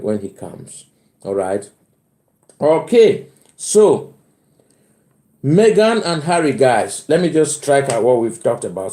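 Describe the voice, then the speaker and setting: Mellow Cameroonian man in a roomy space. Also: mid-range voice. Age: middle-aged. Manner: chuckles often, repeats phrases for emphasis. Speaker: live stream host. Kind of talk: casual live chat, reading out and answering comments. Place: bedroom at home. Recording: roomy indoor echo.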